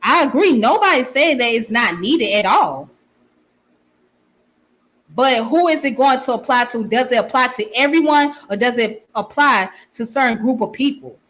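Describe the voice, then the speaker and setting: Southern-accented woman hosting a live chat, slightly high voice, repeats herself. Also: lively intonation, normal speed, fairly bright tone. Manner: repeats phrases, talks to viewers and reads comments. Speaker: channel host. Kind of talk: casual livestream commentary.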